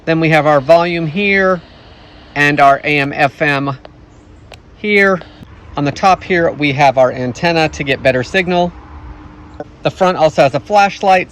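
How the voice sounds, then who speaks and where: A man's voice: fairly high pitch, a bit bright. A man giving a casual product review, explaining features plainly, step by step.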